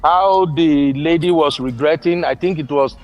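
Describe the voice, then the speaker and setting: A man's voice: fairly high pitch, normal speed, darkish tone, highs cut off. A man with a Nigerian accent making emphatic points in a casual chat, laughing easily.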